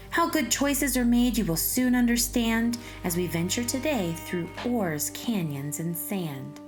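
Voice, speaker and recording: A woman narrating a rhyming children's story in an expressive, deliberate way, speaking directly to young listeners. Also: medium pitch.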